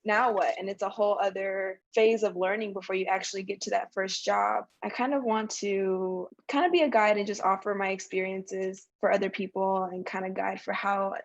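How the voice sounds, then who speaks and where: A woman's voice: medium pitch, steady tone, normal speed, few pauses, muffled recording. A young woman, chatting reflectively and informally as a podcast guest, saying "like" often. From San Francisco Bay Area, US, born in Colombia. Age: young adult. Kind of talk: casual interview conversation.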